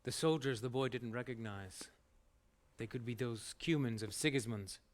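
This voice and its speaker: A man's voice: medium pitch, normal speed, close to an everyday voice. A man acting a wary, grave nobleman in a dramatic video game cutscene.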